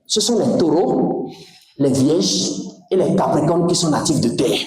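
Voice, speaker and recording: Male teacher from Togo, high-pitched, emphatic and animated, very reverberant room. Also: unhurried. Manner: animated, emphatic, questions to the audience. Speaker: spiritual teacher. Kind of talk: lecture to a class. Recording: very echoey room.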